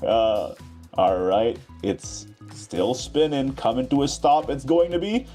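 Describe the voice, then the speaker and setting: A man co-hosting a live esports bracket draw, playful and quick to laugh as he builds suspense. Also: mid-range voice, big pitch swings, warm tone, soft background music. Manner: playful, laughs often, teasing. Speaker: esports co-host.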